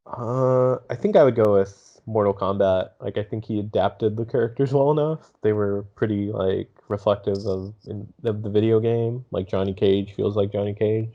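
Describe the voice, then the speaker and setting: A man's voice: lowish voice, steady tone, moderate pace, hardly any pauses, dark tone. A man chatting casually on a podcast panel in an understated, hesitant way, on a muffled recording. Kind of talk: casual chat. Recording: dull, muffled lo-fi sound.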